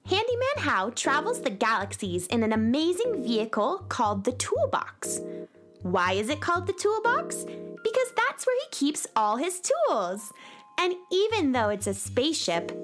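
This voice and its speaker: A woman playing an earnest young space heroine who narrates her adventures expressively in a children's radio series. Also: sits high. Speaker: narrator and lead character.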